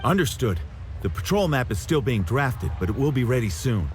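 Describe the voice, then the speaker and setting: A man's voice: medium pitch, big pitch swings, moderate pace, soft background music, lightly acted. An actor voicing a respectful male guard in a game, answering in short, report-like replies. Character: polite, dutiful male guard. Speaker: game character. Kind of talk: game cutscene voice acting.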